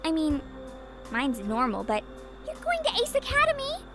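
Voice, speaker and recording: An actress playing a cheerful, teasing teenage little sister in an anime-style game. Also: female voice, extremely high pitch, wildly swooping pitch, moderate pace, music in the background, full character voice. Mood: excited, supportive. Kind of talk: anime-style visual novel voice acting.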